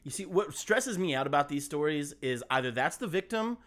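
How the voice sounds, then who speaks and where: Lively joking podcast co-host. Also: mid-range voice, brisk. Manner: joking, swearing, lively reactions. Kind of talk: casual chat.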